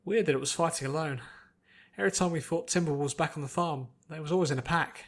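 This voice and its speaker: A man's voice: on the high side, fast talker. A man voicing a tough, plain-spoken young female farm pony who fights, with a folksy manner. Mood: puzzled.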